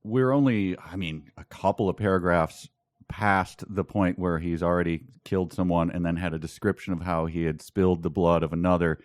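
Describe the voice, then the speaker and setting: Low-voiced man, mock-incredulous, close dry sound. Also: fairly even intonation, moderate pace, no echo. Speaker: podcast co-host. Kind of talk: casual comedic commentary, reading prose excerpts aloud.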